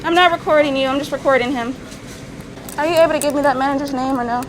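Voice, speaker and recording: A woman's voice: high voice, quick pace. A woman customer arguing insistently and repeating her questions in a confrontation caught on camera. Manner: insistent, argumentative, repetitive. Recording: noisy phone video, vehicle noise.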